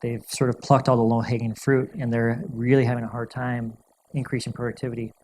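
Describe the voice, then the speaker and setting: Measured man answering questions, even tone. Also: medium pitch, a little hiss or hum, rough recording. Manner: measured, thoughtful, restarts and repeats words. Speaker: guest speaker answering audience questions. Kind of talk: lecture Q&A answers.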